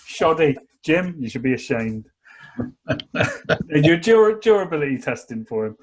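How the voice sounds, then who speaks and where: A man co-hosting a casual podcast, teasing the others in a playful, lively way. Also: a little high, normal speed, rough recording. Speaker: podcast co-host. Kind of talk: casual chat.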